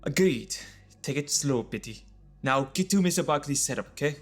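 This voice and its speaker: A high-voiced, chipper scientist in a sci-fi cartoon audio drama. His delivery swings up and down, chatty and jokey with a shifty edge. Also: animated, moderate pace, faint music behind.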